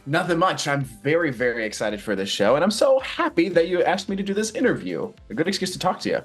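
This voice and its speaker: A man's voice: on the high side, sing-song, quick pace, husky, faint music behind. A man answering interview questions casually as a stage actor, earnest and thoughtful, often searching for his words.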